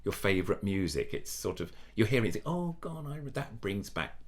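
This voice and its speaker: Older man, low nasal voice. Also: big pitch swings, fairly quick. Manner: rambling, enthusiastic, many restarts. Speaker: puppeteer guest. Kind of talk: casual interview chat.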